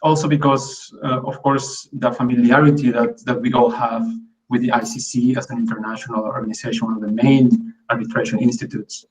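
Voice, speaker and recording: A man's voice: medium pitch, little pitch movement, brisk, distant mic, rough recording. A man from Peru giving an online academic talk in English, hesitant with many fillers and restarts. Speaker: guest lecturer.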